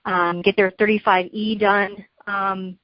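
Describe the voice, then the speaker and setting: A woman leads a training session over a conference call. She explains in a coaching, instructional way, working through examples and checking understanding. Her mid-pitched voice comes through dry and somewhat muffled, with lo-fi call sound. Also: flat delivery, takes it slowly, no echo. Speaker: real estate trainer leading a training call. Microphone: conference call line. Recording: dull, lo-fi conference call audio.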